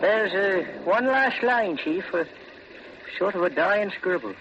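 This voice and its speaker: A man's voice: sits high, expressive, unhurried, noisy background. A man acting a plain-spoken police detective in a 1940s radio drama, slangy and matter-of-fact. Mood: businesslike. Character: plain-spoken male police detective reporting to his chief. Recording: muffled vintage radio with music.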